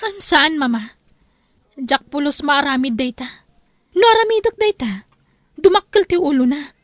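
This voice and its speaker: A woman's voice: sits high, animated, moderate pace, no echo. A woman playing a hurt, proud young wife with an Ilocano accent in a family radio drama, protesting as she speaks. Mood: aggrieved. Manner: aggrieved, protesting.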